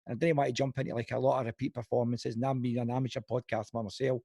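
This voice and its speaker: Gravelly Glaswegian man hosting a podcast. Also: mid-range voice, flat delivery, warm tone, no echo, clean studio sound. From Scotland. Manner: chatty, friendly, lots of quick "yep" backchannels. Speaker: podcast host. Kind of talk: casual interview.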